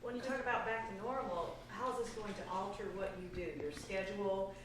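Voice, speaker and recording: A woman's voice: mid-range voice, a little fast, far from the mic. A woman interviewer asking direct, probing questions. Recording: echoey room from off-mic with noise.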